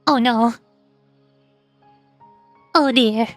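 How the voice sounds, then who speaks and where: A woman's voice: fairly high pitch, extremely slow, dry recording. A woman streaming a game, joking and stammering playfully as she riffs in commentary. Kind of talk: livestream game commentary with read-aloud dialogue. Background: music.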